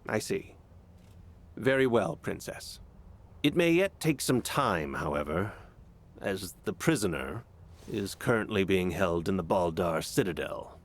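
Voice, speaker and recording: A man's voice: low voice, very animated, measured pace, full character voice. A man playing a stern, cautious royal official in a video game, speaking in a formal, guarded, courtly way. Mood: guarded, polite. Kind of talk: scripted video game acting.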